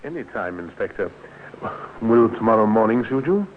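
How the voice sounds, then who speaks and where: An actor playing a smooth, smiling swindler, airy and politely unctuous, in a 1950s radio drama. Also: male voice, lowish voice, sing-song, moderate pace. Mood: suave, airy. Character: smooth, suave, smiling male swindler under suspicion. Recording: tinny, noisy 1950s radio recording.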